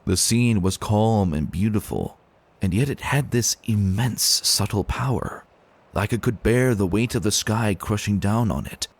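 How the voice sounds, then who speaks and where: A man narrating a story as an expressive dramatic reading. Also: on the low side, husky. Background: music.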